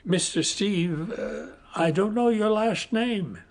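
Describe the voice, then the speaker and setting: Slow-talking, blunt old retired man. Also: high-pitched, lively intonation, creaky, full character voice. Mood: friendly, curious. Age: older. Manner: slow, dryly blunt, formal address. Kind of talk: audio drama dialogue.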